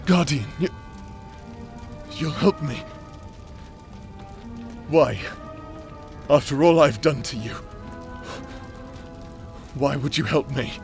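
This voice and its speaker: A man playing a proud, tormented captor under a curse in a dark fantasy audio roleplay, with a theatrical, anguished and imperious delivery. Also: medium pitch, takes it slowly, pauses often, soft background music. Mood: wary, demanding.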